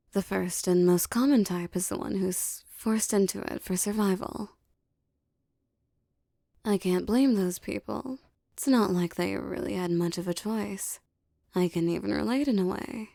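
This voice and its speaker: A woman performs a streetwise female mafia boss with a soft spot for her lover. Her voice is dark and mellow with a gravelly fry. She speaks in an intimate, teasing ASMR roleplay manner, recorded very dry. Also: mid-range voice, dry recording.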